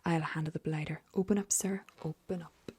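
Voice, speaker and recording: A woman's voice: low-pitched, steady tone, a little fast, darkish tone, slight background noise, close to an everyday voice. A woman whispering as a blustering old duke. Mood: bellicose. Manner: whispered, bellowing orders.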